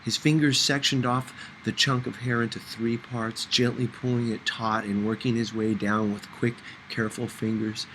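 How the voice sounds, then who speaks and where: A man's voice: mid-range voice, flat delivery, moderate pace, few pauses, fairly bright tone, low-quality recording. Man reading a novel over background noise. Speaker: audiobook reader. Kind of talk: scripted first-person novel narration.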